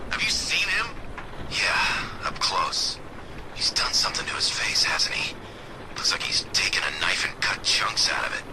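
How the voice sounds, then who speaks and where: An actor playing a grumbling, uneasy guard who gossips in a sardonic mutter in an audio drama. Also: male voice, fairly low pitch. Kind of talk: conversational audio drama acting. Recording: clear background noise.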